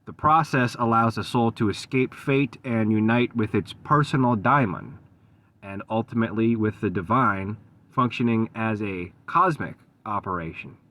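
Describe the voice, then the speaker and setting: A man giving an unscripted solo talk for his video channel, measured and thoughtful, with frequent pauses, ums and restarts. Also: lowish voice, a bit bright. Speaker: esoteric channel host.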